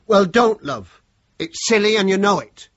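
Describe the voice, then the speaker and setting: An actor playing a placating, unassuming middle-aged chauffeur with a working-class English accent in a British radio play. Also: male voice, high-pitched, lively intonation, a little slow, a bit bright, full character voice. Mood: soothing. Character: mild, deferential working-class chauffeur, conflict-avoiding family man. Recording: muffled radio drama, clear background noise.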